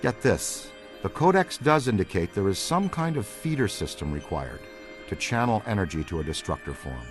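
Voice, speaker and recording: Low-voiced, lively American ghost-hunting scientist. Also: male voice, normal speed. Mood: thoughtful, focused. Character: enthusiastic, curious middle-aged male ghost-hunting scientist. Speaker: paranormal investigator character. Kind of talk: acted video game dialogue. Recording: music behind the dialogue.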